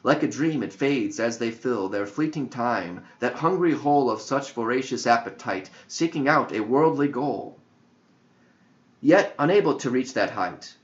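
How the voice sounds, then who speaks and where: A man reading a verse story as audiobook narration, with solemn, measured poetic phrasing. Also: mid-range voice, expressive. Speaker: author reading his own verse story. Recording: dull lo-fi audio.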